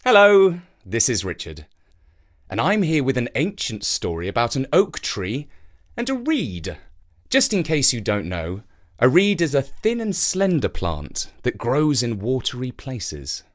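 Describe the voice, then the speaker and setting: Man telling fables with lively pitch swings. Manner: measured, dramatic verse reading. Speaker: storyteller and presenter. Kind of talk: expressive scripted storytelling.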